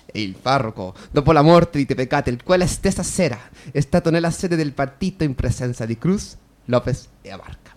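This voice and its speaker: A man's voice: mid-range voice, expressive, moderate pace, a little mellow, lightly acted. An actor playing a dutiful male clerk who reports deferentially to his superior in a radio play. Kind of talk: theatrical radio drama acting.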